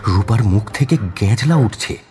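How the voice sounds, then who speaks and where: Male narrator for a thriller audio story, deep voice, animated dramatic reading. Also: normal speed, soft background music.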